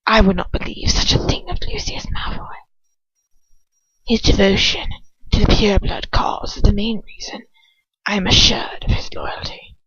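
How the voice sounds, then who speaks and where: A woman voicing a sinister male dark lord in a slow, breathy, whispery hiss, calm and imperious, for an audiobook reading. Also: medium pitch, dry recording, muffled recording. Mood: calm assurance. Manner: slow, measured, calmly imperious.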